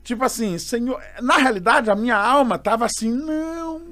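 A Brazilian man, a gospel singer guest, telling an animated story and acting out the voices of others. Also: very high-pitched, moderate pace, no room sound. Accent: Brazilian Portuguese. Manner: expressive, acts out quoted voices.

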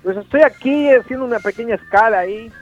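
A man's voice: extremely high pitch, quick pace, lo-fi sound, soft background music. A man voicing a crude, boastful Mexican character with a put-on Mexican accent who ends his lines with swear words. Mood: brash. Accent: put-on Mexican Spanish. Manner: ends lines with "cabrón", brash and mocking. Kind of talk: comedy bit in a radio show.

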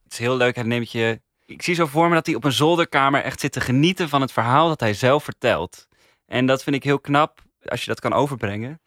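A man's voice: mid-range voice, fairly quick, a bit bright, no echo, clean studio sound. Nederlandse man, losjes pratend in podcastpanel. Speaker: podcast reviewer on panel. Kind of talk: casual chat.

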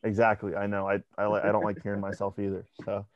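Relaxed young man talking sports, lo-fi. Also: lowish voice, fast, no echo. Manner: conversational, repeats words when restarting. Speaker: podcast co-host. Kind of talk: casual sports chat. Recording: dry, dull lo-fi sound.

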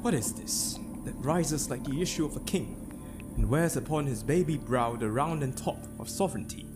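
A man's voice: mid-range voice, normal speed, natural voice. A man acting a Shakespearean king, ambitious, fearful and vengeful, in a theatrical, declamatory dramatic reading. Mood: defiant. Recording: loud ominous music behind the voice. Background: ominous music.

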